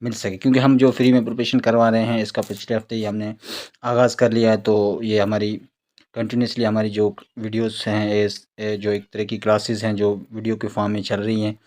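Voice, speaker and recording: A man with a Pakistani accent giving an informal recorded lecture as an exam-prep teacher, explaining point by point, repeating key words and reading English terms out haltingly. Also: fairly low pitch, flat delivery, a little mellow.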